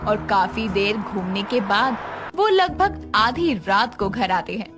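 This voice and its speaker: A woman's voice: medium pitch, animated, takes it slowly, music in the background. A woman narrating a scripted cartoon story as a storyteller.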